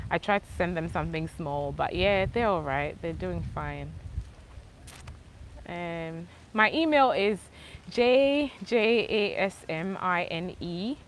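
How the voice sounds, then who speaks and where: A young woman with a mixed Ghanaian, English and Australian accent, chatting casually outdoors as she answers viewers' questions live. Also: fairly low pitch. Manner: chatty, relaxed, thanks viewers, spells things out slowly. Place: outdoors under a palm tree.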